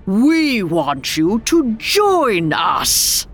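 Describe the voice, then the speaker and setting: A woman's voice: mid-range voice, huge pitch swings, very slow, soft background music, voice pushed up, exaggerated voice. A woman voicing a pompous, portly old goblin king in a grand, commanding storybook manner. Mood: amused, grand. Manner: grand, authoritative, theatrical.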